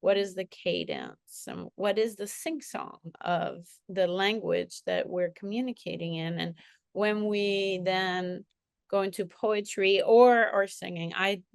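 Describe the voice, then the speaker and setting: A woman's voice: medium pitch, slow pace, creaky, smooth, no room sound, clean studio sound. Reflective woman moderating a panel. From partly raised in Switzerland. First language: bilingual, French second language. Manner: reflective, with many restarts and fillers. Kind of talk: panel conversation.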